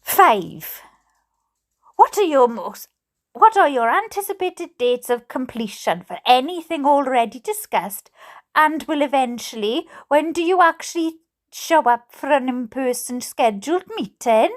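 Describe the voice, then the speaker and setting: High-pitched, slow-paced Welsh woman. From Wales. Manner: theatrical, expressive, playful delivery. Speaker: host reading letters aloud. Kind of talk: dramatic reading aloud with amused asides.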